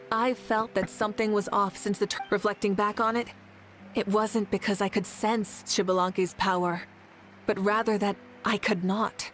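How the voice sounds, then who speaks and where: A woman voicing a poised, courteous young ruler, measured and a bit awkward, in a video game. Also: medium pitch, level tone, a bit bright, a little hiss or hum, close to an everyday voice. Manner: polite, measured, a little awkward. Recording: game audio with faint music.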